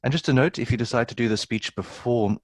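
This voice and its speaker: A man presenting an interpreting tutorial in a clear, orderly way. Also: medium pitch, fast, dry recording. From South Africa.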